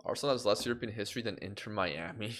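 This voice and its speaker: A man's voice: on the low side, racing, fairly bright tone, a little hiss or hum. A young man from Canada, chatting on a live game stream and reacting aloud to results.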